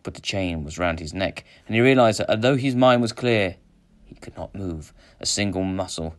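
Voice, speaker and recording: Male storyteller, fairly deep voice, expressive narration for a children's tale. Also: moderate pace. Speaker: story reader.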